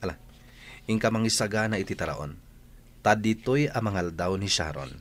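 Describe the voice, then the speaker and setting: A man's voice: on the low side, expressive, normal speed, close to an everyday voice. A man acting the part of a curt, domineering rancher in an Ilocano radio drama. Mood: curt, bossy. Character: domineering, curt rancher, smooth with his sweetheart.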